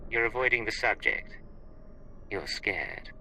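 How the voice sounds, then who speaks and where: An actor playing a cool, confrontational Imperial secret agent in a video game, speaking in terse, probing lines. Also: male voice, on the low side, unhurried, creaky, pushed and bright, faint music behind, full character voice, low-quality recording. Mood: bitter, accusing. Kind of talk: video game cutscene acting.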